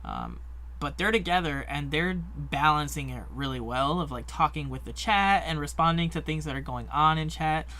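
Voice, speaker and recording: Chatty man co-host, bright edge, higher pitch. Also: moderate pace. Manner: chatty, lively, lots of likes and ums. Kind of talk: casual podcast chat.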